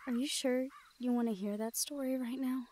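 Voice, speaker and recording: A woman playing a teasing, protective shadow-folk thief in an intimate fantasy audio roleplay, speaking softly and often dropping toward a murmur. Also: high-pitched, fairly even intonation, normal speed, a little hiss or hum, close to an everyday voice. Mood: somber storytelling.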